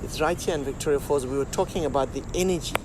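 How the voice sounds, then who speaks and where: A man's voice: on the high side, quick pace. A man of about forty from Zimbabwe, hosting an interview in a friendly, chatty way with many fillers. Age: 40s. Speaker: interview host. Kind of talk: casual interview chat. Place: outdoors under a large tree. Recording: outdoor recording with steady background noise.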